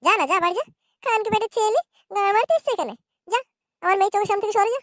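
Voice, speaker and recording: A woman's voice: extremely high pitch, rapid-fire, no room sound, clean studio sound. A woman voicing a girlfriend's hostile, suspicious mother in a comedy cartoon, dismissive and insulting.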